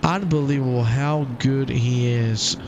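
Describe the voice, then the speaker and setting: A man giving live commentary on an online car race, enthusiastic and joking, rising loud and high as the winner crosses the line. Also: unhurried, muffled recording, noisy background. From half Croatian. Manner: enthusiastic, jokey, swells loud and high at the finish. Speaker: sim racing commentator.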